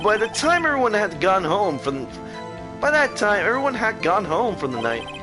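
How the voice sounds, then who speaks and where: Man putting on an excitable, high-pitched young slacker witness. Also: normal speed, music in the background. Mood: rambling. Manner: rambling, defensive, stammering. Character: excitable, flustered young male witness, slacker friend of the lawyer.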